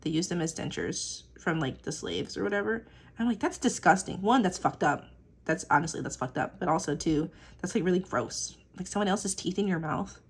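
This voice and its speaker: A young woman with a husky, gravelly voice rambles quickly through casual chat as she works on a drawing. Her pitch sits a bit low but swings widely. She tosses in fillers and the odd swear. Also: lively intonation. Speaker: artist vlogger.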